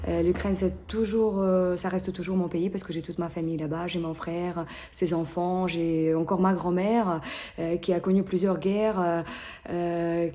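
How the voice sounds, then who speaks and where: A woman in her thirties with a Ukrainian accent, answering interview questions haltingly, with many fillers and restarts. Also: lowish voice, flat delivery, dark tone.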